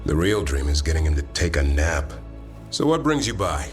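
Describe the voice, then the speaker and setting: An actor playing a wry, earnest warrior of an honorable fantasy order in a video game, with dry, measured delivery. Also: male voice, extremely low pitch, big pitch swings, faint music behind. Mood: wry, relaxed.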